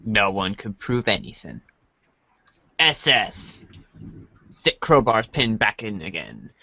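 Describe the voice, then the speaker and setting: A man reading comic narration aloud for a podcast, halting and correcting himself as he goes. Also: on the low side, wildly swooping pitch, creaky, sharp tone, no echo, lo-fi sound. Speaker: reader in a webcomic reading podcast.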